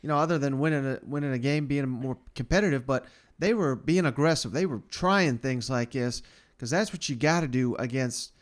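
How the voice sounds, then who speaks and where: A man's voice: mid-range voice, a little fast. A man hosting a sports podcast, giving casual commentary in a colloquial, conversational way. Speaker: podcast host.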